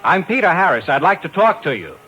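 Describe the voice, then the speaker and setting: An actor playing a brash, hard-boiled talent agent and narrator in a 1950s radio drama. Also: male voice, high voice, sing-song, fairly quick, bright, forceful tone, muffled recording, a little hiss or hum, full character voice. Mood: persistent. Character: brash, persistent male New York talent agent.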